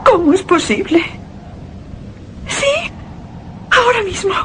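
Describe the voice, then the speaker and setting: An ailing, frightened wife in a melodramatic radio horror play. Her high voice swings wildly and comes very slowly, halting with anguish. Faint noise sits behind it. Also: sing-song, fairly bright tone, full character voice. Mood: terrified. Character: frail, sick, frightened young wife hearing eerie sounds. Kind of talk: melodramatic radio drama acting.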